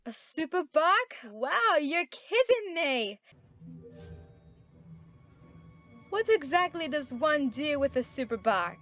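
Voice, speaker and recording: A woman voicing a sarcastic, wheedling female alley cat in an amateur performance of an animated film scene, with theatrical delivery. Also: high voice, measured pace, faint music behind, full character voice. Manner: sardonic, theatrical.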